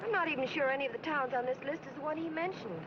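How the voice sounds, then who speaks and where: An actress playing a weary, doubtful travelling companion in a 1940s comedy film. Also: female voice, high-pitched, rapid-fire, natural voice. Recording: muffled, noisy old film scene.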